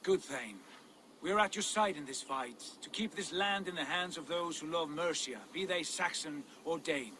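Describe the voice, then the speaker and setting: Casting: secretive Norse jarl in a game, tense bright edge, commanding, faint background noise. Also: male voice, on the high side, normal speed. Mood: resolute. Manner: commanding, insistent.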